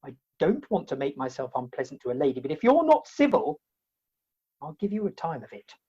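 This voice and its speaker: Woman as indignant theatrical hero, wordy comic period role, animated and husky, muffled online recording. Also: sits low, brisk, exaggerated voice. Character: indignant, exasperated comic hero pleading his case. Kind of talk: comic Victorian stage play acting. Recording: dull, lo-fi video-call audio.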